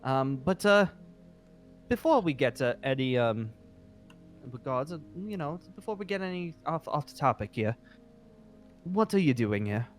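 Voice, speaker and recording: A man playing a polite, eccentric guild wizard in improvised roleplay, genteel and halting. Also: mid-range voice, big pitch swings, soft background music, natural voice. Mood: curious, delighted. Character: polite, eccentric male guild wizard who sniffs out dragons.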